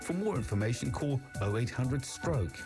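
A man reading a scripted community health announcement in a clear, informative way. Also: medium pitch, animated, moderate pace, over music.

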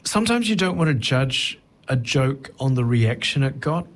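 A middle-aged man talking reflectively as an interview guest, thoughtful and halting, often rephrasing mid-sentence. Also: medium pitch, sing-song. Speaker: touring stand-up comedian, interview guest.

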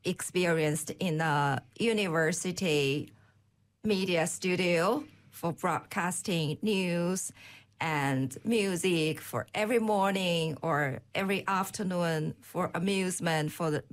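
A woman's voice: on the low side, slow pace. A woman in her thirties or forties from Inner Mongolia, whose first language is Chinese, speaking with many hesitant fillers and restarts. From Inner Mongolia, China. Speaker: guest at an open day. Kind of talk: casual conversation.

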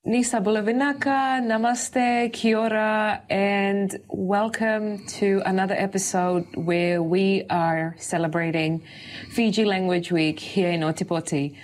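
A woman's voice: medium pitch, fairly even intonation, a little slow, soft background music. A woman hosting a community radio interview, asking questions and encouraging her guest. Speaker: radio host.

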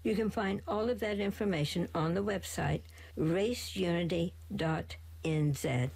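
A woman radio presenter reading scripted narration in a steady, informative way. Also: on the low side, unhurried, slightly rough.

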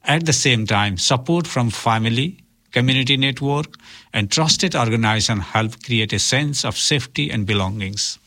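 A man with Nepali as his native language speaks as an interview guest at a middling pitch. His phrasing is formal and deliberate, and he comes across as earnest. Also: bright, forceful tone. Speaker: interview guest, community worker and officiant for migrants and refugees.

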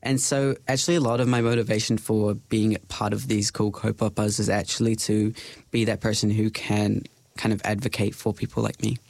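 Low-voiced young New Zealand man. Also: flat delivery, brisk, creaky, a little mellow, no room sound. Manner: earnest, thinks aloud with many "like" fillers. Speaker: podcast guest. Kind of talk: casual podcast interview.

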